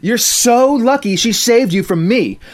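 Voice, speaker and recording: A man voicing a mean teenage girl bully who sneers and shouts insults. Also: very high-pitched, lively intonation, a little slow, voice pushed up. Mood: shouting.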